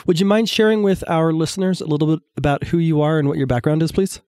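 A male co-host welcomes the guest and asks friendly questions at a quick clip. His tone is mellow and warm, and the recording is dry and close. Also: fairly high pitch, fairly even intonation, clear, resonant tone, dry recording. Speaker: podcast co-host. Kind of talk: interview questions.

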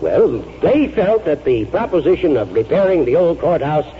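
A polite small-town gentleman with a lively, slightly high voice. He is genteel and persuasive in old radio drama style. The sound is thin and narrow, like a telephone. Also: normal speed. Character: genteel, pragmatic old friend, small-town library advocate. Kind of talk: 1940s radio drama acting. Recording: thin, narrow-band 1940s radio recording.

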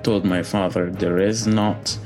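A man from Egypt, a concert pianist, answering interview questions thoughtfully with many pauses and fillers. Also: deep voice, little pitch movement, music in the background.

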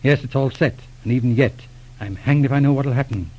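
An actor playing a brisk, earnest police inspector in an old radio drama, with an urgent, formal, clipped manner. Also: male voice, medium pitch, darkish tone, lightly acted. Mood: earnest, troubled. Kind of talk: 1940s radio drama acting. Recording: dull old radio recording.

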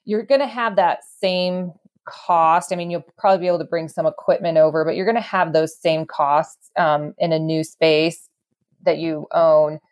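Female interview guest, low pitch, property professional, thoughtful explanatory delivery with a halting flow. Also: fairly even intonation. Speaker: real estate expert guest.

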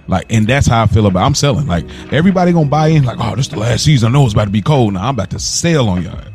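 A man's voice: medium pitch, expressive, rapid-fire, darkish tone, faint music behind. An American man co-hosting a podcast, chatting casually about TV in slangy, opinionated, conversational language. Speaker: podcast host. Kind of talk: casual TV review chat.

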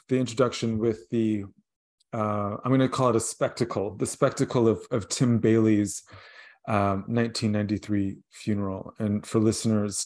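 Thoughtful lower-voiced male interviewer. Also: darkish tone. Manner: thoughtful, with many fillers. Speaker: interviewer, host. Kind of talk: interview questions.

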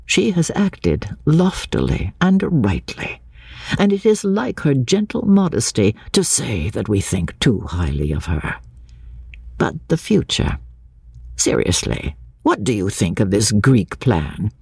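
A woman's voice: extremely low pitch, wildly swooping pitch, vocal fry, darkish tone, husky, no echo, clean studio sound, full character voice. A woman reading a storybook as a courteous, earnest and puzzled clergyman who speaks hesitantly. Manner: hesitant, halting, deliberate.

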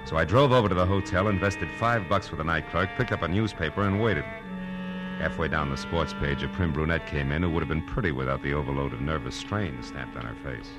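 A man's voice: rumbling low, a little fast. A man playing a hard-boiled, wisecracking private detective in a 1940s radio drama, wry, clipped and slangy. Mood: tense, brisk narrating. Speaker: lead actor and narrator. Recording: old radio drama with music behind.

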